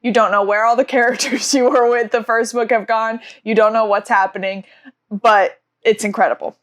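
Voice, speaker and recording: A woman's voice: on the high side, steady tone, moderate pace. A young woman reviewing books in a casual vlog, stuffy from allergies, gushing with enthusiasm and often saying "like". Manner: gushing, enthusiastic, frequent "like" fillers. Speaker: book vlogger.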